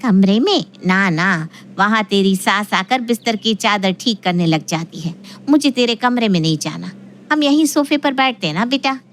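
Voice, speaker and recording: A woman's voice: medium pitch, lively intonation, normal speed, a little hiss or hum, lightly acted. A woman playing a middle-aged mother of a married daughter in an audio story, affectionate but exasperated and complaining. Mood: reluctant, fussy. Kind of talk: Hindi audio story acting.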